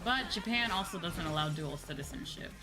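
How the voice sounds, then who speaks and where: A woman from the United States giving casual, animated, sweary game commentary as a streamer. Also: lowish voice, fairly quick, low-quality recording. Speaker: VTuber streamer. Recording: game music behind.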